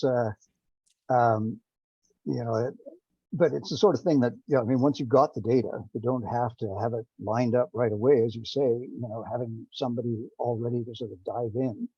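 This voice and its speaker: A man giving an informal technical presentation on a teleconference, speaking in a halting, rambling way. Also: medium pitch, warm tone, slightly rough. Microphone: remote teleconference call. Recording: dull, roomy conference-call audio.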